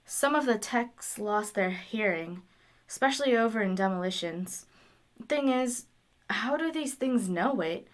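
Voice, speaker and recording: Woman playing a slow, deadpan practical wanderer. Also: mid-range voice, lightly acted. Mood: calm, helpful. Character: calm, practical wanderer, dryly helpful to strangers. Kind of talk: audio drama dialogue.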